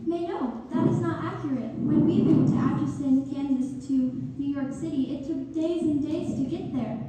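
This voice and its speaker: A woman's voice: sits high, normal speed, dark tone, natural voice. A woman playing an earnest, politely argumentative little American girl in a stage read-through. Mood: earnest, contradicting. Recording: reverberant hall, distant mic, faint noise.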